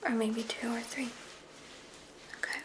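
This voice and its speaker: Young female ASMR creator, higher-pitched, unhurried whisper, candid and informal, noisy close-up recording. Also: level tone. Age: young adult. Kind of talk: ASMR roleplay bloopers, whispered and soft-spoken. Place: small decorated bedroom studio indoors.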